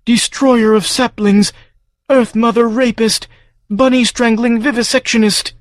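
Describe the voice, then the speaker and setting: High wailing alien farm monster, super dramatic, sobbing out flowery lines. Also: male voice, fairly even intonation, measured pace, dry recording, studio quality. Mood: outraged. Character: huge many-legged alien farm creature, grief-stricken and theatrical.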